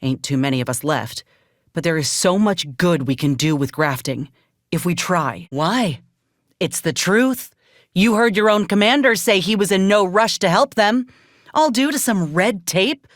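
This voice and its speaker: Low, lively, folksy frontier ex-soldier. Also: female voice, measured pace, dry recording, clean studio sound. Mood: dry, wry, then righteous, earnest. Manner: folksy frontier drawl phrasing, plainspoken. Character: plucky, principled female ex-soldier freelancer in a space-frontier world. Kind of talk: video game character acting.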